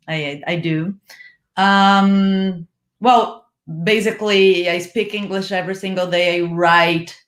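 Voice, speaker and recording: Brazilian woman, steady explainer, echoey room. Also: fairly low pitch. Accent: Brazilian Portuguese. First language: Portuguese. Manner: steady and explanatory, with frequent "like" fillers. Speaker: PR manager, panel guest. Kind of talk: casual online panel chat.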